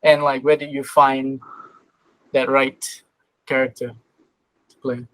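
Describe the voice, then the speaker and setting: A man's voice: mid-range voice, level tone, slow, fairly bright tone, no room sound, rough recording. A man hosting a podcast, asking casual, loosely phrased interview questions.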